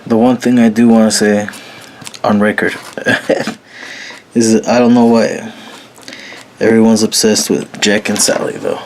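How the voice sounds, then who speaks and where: A man with a very low voice talking casually and slowly while painting, with lots of fillers and a laid-back manner. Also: level tone, darkish tone, a little hiss or hum. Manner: slow, rambling, frequent fillers, laid-back. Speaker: painting tutorial host. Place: small room at a painting table.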